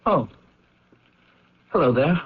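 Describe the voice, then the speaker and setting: An actor playing a volatile, shaken brother in a 1970s radio drama, with a husky voice. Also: male voice, fairly high pitch, very animated, takes it slowly, exaggerated voice. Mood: casual, brittle. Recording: dull old radio recording.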